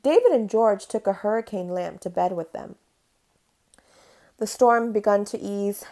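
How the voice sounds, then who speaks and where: A woman's voice: mid-range voice, measured pace, dark tone. A woman reading a children's picture book aloud in a storytelling style.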